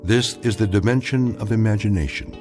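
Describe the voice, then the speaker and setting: A man as a deep, solemn radio drama narrator. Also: fairly quick, close to an everyday voice. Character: grave male story narrator. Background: music.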